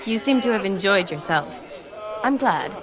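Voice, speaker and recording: A woman voicing a soft-spoken, wistful young woman. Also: mid-range voice, lively intonation, normal speed, crowd noise, lightly acted. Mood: firm. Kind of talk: game cutscene voice acting.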